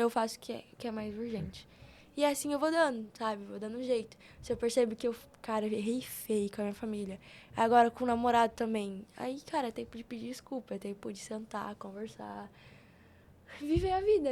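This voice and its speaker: A woman's voice: a little high, fast talker, darkish tone. A young woman with a Brazilian Portuguese accent, speaking casually and emphatically as a podcast guest, with slangy repetition. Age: young adult. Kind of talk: casual, chatty interview answers.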